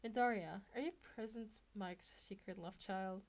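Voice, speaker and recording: A woman voicing a blunt, serious teenage schoolboy in a story reading, with flat deadpan delivery. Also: medium pitch, measured pace, close to an everyday voice. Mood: deadpan suspicion.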